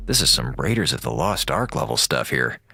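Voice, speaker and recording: An actor playing a wisecracking, easily distracted radio broadcaster on a space station, rambling casually and sarcastically in an audio drama. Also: male voice, rumbling low, sing-song, brisk, gravelly, very noisy, heavily acted. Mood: curious, amused. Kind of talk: audio drama, chatty monologue to listeners.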